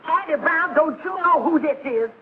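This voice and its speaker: Casting: domineering jilted middle-aged woman, scolding and very high-pitched, old TV comedy with phone-like noisy sound. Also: lively intonation, moderate pace. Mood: furious, scolding. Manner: scolding, snarling. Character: scornful jilted older woman, a domineering mother. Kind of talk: 1950s television sitcom acting. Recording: noisy, tinny vintage TV soundtrack.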